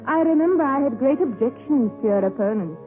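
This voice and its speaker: A woman's voice: high voice, animated, brisk, faint music behind. A woman playing a cold, proud, aloof young lady in 1950s radio drama style, on a tinny, narrow broadcast recording. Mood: cool, detached.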